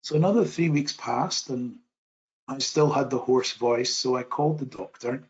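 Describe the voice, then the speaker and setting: A middle-aged man giving an online presentation in a modest, measured way, often restarting his sentences. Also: medium pitch, lo-fi sound. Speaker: webinar presenter.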